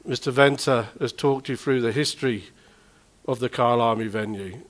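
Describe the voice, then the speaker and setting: A man's voice: mid-range voice, normal speed. A middle-aged man with a Manchester accent, an event promoter giving a chatty, self-deprecating address at a press conference. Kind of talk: informal speech to the press.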